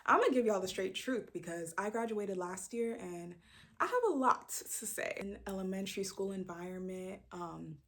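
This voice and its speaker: A woman in her early twenties from Brooklyn, New York, talks casually straight to camera. Her speech is chatty and loose, full of fillers, and she swings her pitch up sharply to stress key words. She sounds close to the mic. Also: normal speed. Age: 20s. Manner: chatty and animated, lots of fillers, sudden loud pitch jumps for emphasis. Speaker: vlogger introducing her channel. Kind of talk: casual direct-to-camera chat.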